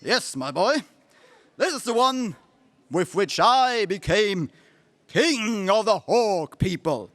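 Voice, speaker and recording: A man's voice: sits high, animated, slow, fairly bright tone, no echo, heavily acted. An actor playing a boisterous, grandiose king of hawk people in a space serial radio play. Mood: solemn, proud. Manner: grandiose, declamatory.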